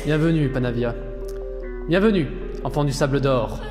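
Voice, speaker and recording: A man's voice: mid-range voice, lively intonation, normal speed, natural voice. An actor playing a solemn, fervent cult leader in his thirties in a French audio drama, declaiming and commanding like a preacher. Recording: loud music under the voice.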